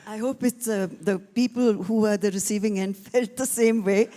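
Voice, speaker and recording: A woman moderating an on-stage interview, conversational and teasing, with filler pauses. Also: medium pitch, steady tone, warm tone. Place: festival stage before an audience.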